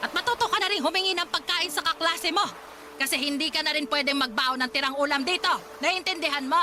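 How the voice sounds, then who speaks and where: A woman's voice: high-pitched, brisk, bright, forceful tone, slight background noise. An actress in a radio drama playing a cruel, pious, stingy old grandmother who scolds and nags. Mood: angry, nagging. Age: older. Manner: scolding, sanctimonious, nagging. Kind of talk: Tagalog radio drama acting.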